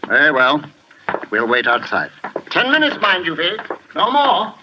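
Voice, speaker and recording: An actor playing a shrewd, methodical police inspector, measured and formal, in a 1940s radio mystery. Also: male voice, fairly high pitch, huge pitch swings, noisy background. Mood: firm. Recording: muffled vintage radio recording.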